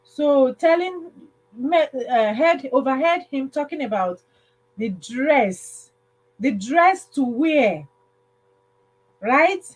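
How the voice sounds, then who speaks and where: A woman hosting a livestream, casually telling a story in a halting way, repeating phrases and checking in with listeners. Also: high-pitched, lively intonation, muffled recording. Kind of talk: casual spoken storytelling with advice.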